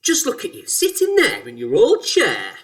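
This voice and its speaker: A woman voicing a gleefully cruel, scheming old husband from a storybook, making loud, drawn-out, mock-solemn pronouncements. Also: sits low, very animated, voice pushed down, exaggerated voice. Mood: shouting, accusing.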